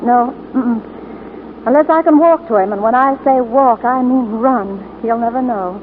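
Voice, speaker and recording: A woman's voice: on the high side, moderate pace, lightly acted. An actress playing a brave, tender young woman hiding a secret in sentimental 1940s radio drama. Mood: wistful, resolute. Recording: scratchy, tinny 1940s radio broadcast.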